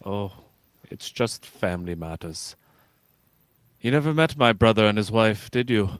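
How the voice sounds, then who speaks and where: Dignified ageing captain, sci-fi radio play, male actor. Low, formal, reflective, dry close recording. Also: lively intonation, a little slow, no room sound. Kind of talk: live script read, sci-fi radio theatre.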